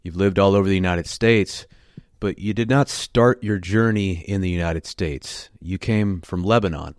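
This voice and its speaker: A man hosting a podcast, reading a written intro and then asking his guest questions in a measured, earnest way. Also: low-pitched, no room sound, studio quality. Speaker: podcast host. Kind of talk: scripted intro and interview questions.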